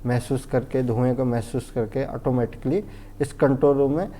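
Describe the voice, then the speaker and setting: A man's voice: mid-range voice, steady tone, normal speed, warm tone. A man with an Indian accent giving an informal technical lesson as a fire safety instructor, explaining step by step, repeating himself and often checking that he is understood. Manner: didactic, repetitive, often checks understanding and asks himself questions.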